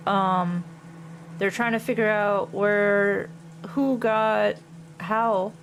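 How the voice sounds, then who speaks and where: Slow-paced woman streamer, noisy background. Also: medium pitch. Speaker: streamer, host. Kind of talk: casual livestream chat, reading visual novel text aloud.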